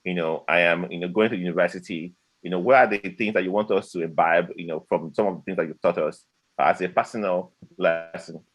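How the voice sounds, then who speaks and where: Young guy w/ a really low voice asking a rambly question, lots of fillers, dry close sound. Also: fairly even intonation, a little fast, no echo, low-quality recording. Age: young adult. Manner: filler-heavy, rambling phrasing. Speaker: interviewer.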